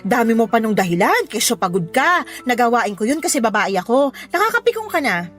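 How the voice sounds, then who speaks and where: Scornful young mother, high voice, swooping intonation, sharp scolding, sarcastic, radio drama, faint music behind. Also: very animated, brisk. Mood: angry, bitter. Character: fed-up, scornful young mother rejecting her cheating ex. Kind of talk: Filipino radio drama acting.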